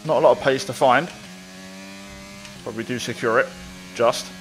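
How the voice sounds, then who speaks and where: A man's voice: mid-range voice, moderate pace, a little hiss or hum, poor audio. Casual man commentating on racing gameplay. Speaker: gaming video creator.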